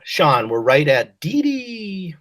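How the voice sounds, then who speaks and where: A woman's voice: low voice, big pitch swings, slow, a bit bright, a little hiss or hum. A woman teacher leading an online class, speaking patiently and encouragingly as she prompts and praises her pupils. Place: online class video call. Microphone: video call.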